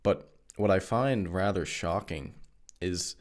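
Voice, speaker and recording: A Canadian man with a Canadian accent, hosting a podcast and talking in an earnest, conversational way. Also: deep voice. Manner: earnest, conversational, with quick restarts. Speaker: podcast host. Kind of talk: podcast interview.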